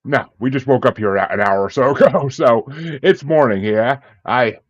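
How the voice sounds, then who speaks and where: A man's voice: medium pitch, normal speed. A man hosting a podcast with casual, jokey and self-deprecating interview chat. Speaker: podcast host. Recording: dull lo-fi sound, roomy echo.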